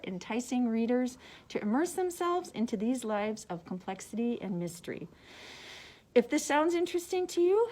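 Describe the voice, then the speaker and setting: Higher-pitched woman presenting book picks. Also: a little mellow. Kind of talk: book recommendations, partly read aloud from summaries.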